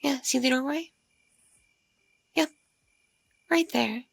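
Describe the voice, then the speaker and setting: Woman acting a slow, creaky, seductive vampire woman. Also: high-pitched, dry recording, full character voice. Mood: doting, reassuring. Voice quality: husky, creaky. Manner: slow, intimate murmur slipping into whispers. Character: seductive, doting mature female vampire, politely predatory. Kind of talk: ASMR audio roleplay.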